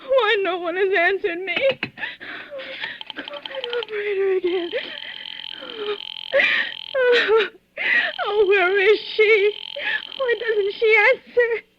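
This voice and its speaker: A woman's voice: extremely high pitch, slow, pauses often, background noise. An actress playing a nervous, imperious invalid woman, agitated and complaining, in a 1940s radio suspense drama. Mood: fearful, distraught. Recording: dull 1940s radio broadcast recording.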